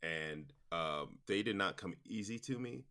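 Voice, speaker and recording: A man's voice: low voice, expressive, measured pace, sharp tone. A man being interviewed about his audio drama work, answering casually with many fillers and restarts. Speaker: guest, audio drama producer and director.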